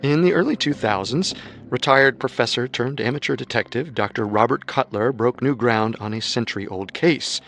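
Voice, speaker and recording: A man narrating a scripted true-crime story as co-host, measured, with dramatic storytelling emphasis. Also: medium pitch, gravelly, a bit bright, soft background music.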